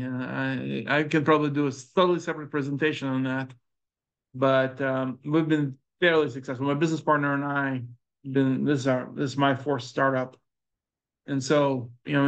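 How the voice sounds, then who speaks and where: A middle-aged man with a Ukrainian accent giving a startup presentation, halting and full of fillers and restarts. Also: mid-range voice, muffled recording. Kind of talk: conference presentation with audience questions.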